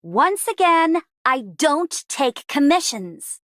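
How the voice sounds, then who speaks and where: A woman's voice: high-pitched, sing-song, slow pace, fairly bright tone, a little hiss or hum, heavily acted. A woman voicing a grumpy, reclusive young artist in a video game, brusque and exasperated. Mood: annoyed. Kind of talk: video game character voice lines.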